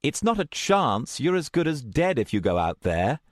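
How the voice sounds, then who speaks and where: A man's voice: medium pitch, very animated, moderate pace, no echo, studio quality, voice pushed up, natural voice. A man playing an exasperated, protective man in an audiobook story, insistent and reasoning with a snarky streak. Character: exasperated, protective man who can see the future.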